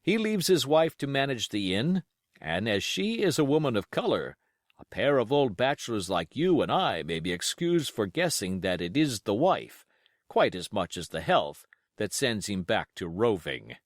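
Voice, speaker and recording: A man reading a classic adventure novel aloud as an audiobook, in an expressive, measured storytelling delivery. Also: fairly low pitch, dry recording, studio quality. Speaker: audiobook reader.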